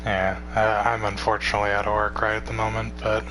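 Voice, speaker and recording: Tired young man, low flat voice. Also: fast, noisy background. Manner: rambling, relaxed, swears casually. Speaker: streamer. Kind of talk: casual chat with stream viewers.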